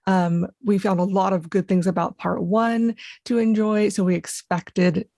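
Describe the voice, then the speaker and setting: A woman's voice: mid-range voice, fairly even intonation, unhurried, dark tone, no echo, studio quality. A woman co-hosting a podcast, chatting casually and rambling with fillers. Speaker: podcast co-host.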